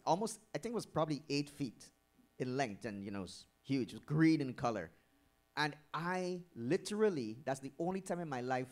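A middle-aged man from Guyana, preaching a sermon into a handheld mic in a church hall, stressing key words, repeating them and asking the congregation questions. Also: on the high side. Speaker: pastor. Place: church hall with curtained stage. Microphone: handheld microphone.

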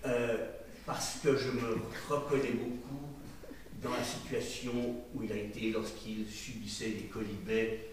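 A man's voice: medium pitch, little pitch movement, a little slow, far from the mic. Male debate speaker, rhetorical and ironic, recorded in a very echoey room with faint noise. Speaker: audience member and journalist reading questions. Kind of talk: public literary debate remarks. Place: small dim event venue with audience.

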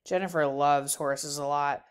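A woman's voice: low-pitched, fairly even intonation, a little slow, smooth. A woman narrating a children's book aloud as a storyteller.

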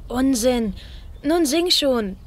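A woman's voice: high voice, lively intonation, slow pace, full character voice. An actress playing a bold, curious young boy in a fairy tale audio drama, eager in manner. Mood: friendly. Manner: eager, boyish.

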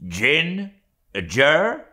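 A man reading a novel aloud, voicing a bluff, excitable ship's mate who mocks loudly. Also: a little high, drawn-out words, voice pushed up, heavily acted. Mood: indignant mockery. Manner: loud, blustering, sarcastic questioning. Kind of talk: audiobook character voicing.

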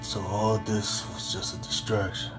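Slow, grim cowboy narrator, a man doing it. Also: fairly low pitch, level tone, low-quality recording. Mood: wary. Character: weary male cowboy monster hunter. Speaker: narrator and voice actor. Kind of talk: amateur motion comic voice acting. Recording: over background music.

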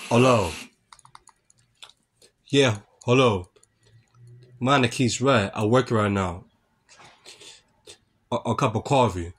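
A man speaking slowly in short, broken phrases, repeating greetings over and over. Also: mid-range voice, breathy edge. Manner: slow, repetitive, halting broken phrases. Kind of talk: repetitive phone-style greetings. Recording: faint background noise.

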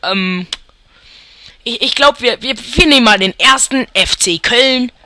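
A young man from Germany talks through a football game in an unhurried, drawn-out way, rambling and thinking aloud with frequent chuckles. His voice is bright and clear, recorded dry and close with no room sound. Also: extremely high pitch, dry recording. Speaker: let's player. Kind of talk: casual gameplay commentary.

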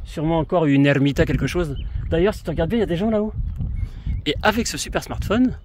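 French guy vlogging on a mountain trail, kinda high voice, thinking out loud, gets all excited. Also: quick pace, slight background noise. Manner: excited exclamations, thinking aloud. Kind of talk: casual travel vlog commentary. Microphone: action camera or smartphone.

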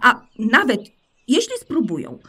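A woman doing a gentle mother hare. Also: on the high side, huge pitch swings, unhurried, slightly rough, full character voice. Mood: tender. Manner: soothing, tender.

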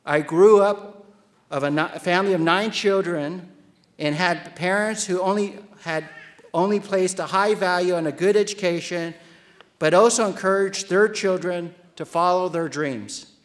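A middle-aged man delivering a prepared, formal motivational commencement speech at a podium microphone, deliberate and halting, with emphatic pauses. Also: high voice. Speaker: commencement speaker, brand strategist. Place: large theater stage at a podium.

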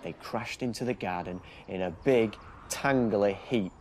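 A British man in his thirties reading a children's bedtime story in a gentle, deliberate, expressive storytelling style. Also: lowish voice, heavy background noise. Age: 30s. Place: TV studio set.

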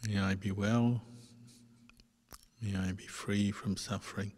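A man leading a guided meditation as a monk, speaking gently in short phrases with long, unhurried pauses. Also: fairly low pitch, little pitch movement, husky. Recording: long hall-like reverb.

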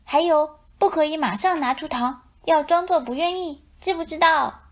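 一位女性在童话绘本里扮演勇敢又爱指挥人的小羊，语气稚气而坚决。. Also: female voice, high voice, normal speed, pitched above the performer's own voice. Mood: bossy. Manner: insistent, childlike scolding and demanding. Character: bold, bossy little lambs on Halloween.

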